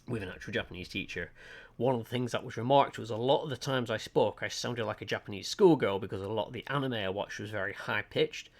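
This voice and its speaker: A man from Lancashire with a Lancashire accent, chatting casually and hesitantly to viewers on a livestream, full of ums. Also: lowish voice. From Lancashire, England. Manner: rambling, chatty, self-deprecating, hesitant with frequent ums. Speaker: art livestreamer. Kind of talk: casual chat to stream viewers. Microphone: desk mic, webcam off.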